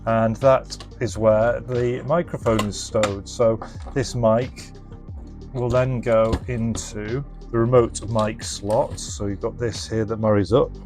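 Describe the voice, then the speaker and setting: A man's voice: lowish voice, level tone, slow pace, over music. A man casually presenting a hands-on product demonstration, explaining informally and thinking aloud as he goes. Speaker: presenter and reseller of restored retro electronics.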